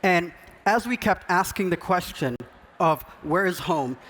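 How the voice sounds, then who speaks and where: A man with a fairly high voice gives an earnest, encouraging talk from an event stage. He co-hosts a podcast and paces his points with care. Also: lively intonation, measured pace, a bit bright. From Sudan. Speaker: podcast co-host speaking on stage.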